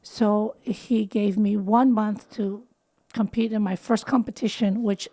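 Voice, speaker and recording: Woman in her fifties, mellow low-key voice. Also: steady tone, unhurried. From Philippines. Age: 50s. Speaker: anti-aging and wellness doctor. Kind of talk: casual interview talk.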